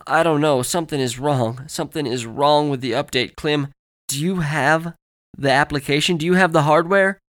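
A man's voice: mid-range voice, fairly even intonation, normal speed, hardly any pauses, fairly bright tone, close to an everyday voice. Man acting an alarmed, urgent male colleague. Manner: urgent, questioning.

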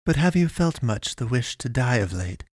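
An uncanny young girl from another world, acted out by a male narrator, speaks in a raised, accented voice. She is calm and oddly formal, picking archaic, stilted words as an audiobook character. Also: mid-range voice, moderate pace, no room sound, studio quality, pitched above the performer's own voice. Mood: earnest, probing. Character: otherworldly young girl pastoress, calm, odd, gun-toting.

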